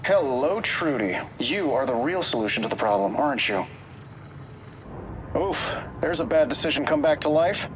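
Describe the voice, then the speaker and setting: Science-minded game hero male actor, slightly high voice, wry, clear background noise. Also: lively intonation, normal speed, close to an everyday voice. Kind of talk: video game character voice line. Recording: noisy game ambience behind.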